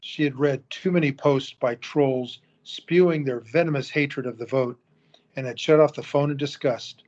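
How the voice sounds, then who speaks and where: A man narrating an audio drama in a measured storytelling manner. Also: medium pitch, darkish tone. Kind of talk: scripted narration. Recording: dull lo-fi recording.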